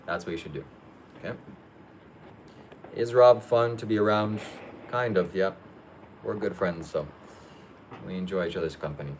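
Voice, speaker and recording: Man, livestream host, somewhat deep voice, reads questions aloud, short plain answers, low-key. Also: normal speed, pauses often, a little hiss or hum. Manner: matter-of-fact, low-key. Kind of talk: casual live Q&A.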